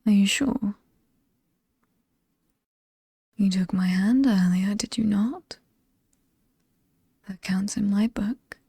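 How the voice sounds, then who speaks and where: Woman acting a slow, whispering British demoness. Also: mid-range voice, dry recording, clean studio sound. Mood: mocking. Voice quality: breathy, often whispered, soft. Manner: slow, taunting, teasing. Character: alluring sinister demon woman, mocking mistress of a haunted estate. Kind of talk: horror ASMR roleplay, scripted monologue.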